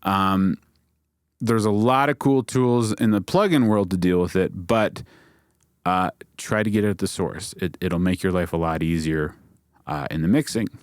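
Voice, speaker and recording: A man's voice: sits low, normal speed, dry recording, studio quality. A man giving a casual, explanatory tutorial as an instructor, informally checking in with his listeners as he goes. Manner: informal, checks in with "okay?" and "right?". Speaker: audio mixing instructor and video host.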